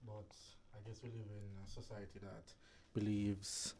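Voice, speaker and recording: A man chats on a radio show in an easygoing way, chuckling now and then. His voice is low, mellow and gravelly with fry. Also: flat delivery, a little slow. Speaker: studio engineer, guest on the show. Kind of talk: casual radio talk show chat.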